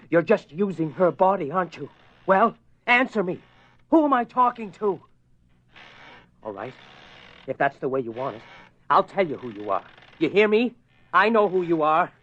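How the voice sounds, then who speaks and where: High-pitched, desperate, pleading husband. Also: male voice, sing-song, normal speed, long pauses, a bit bright. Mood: defiant, demanding. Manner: intense, imploring, emotional. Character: desperate, pleading husband confronting a possessing spirit. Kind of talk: horror radio play acting. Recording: muffled lo-fi radio drama recording.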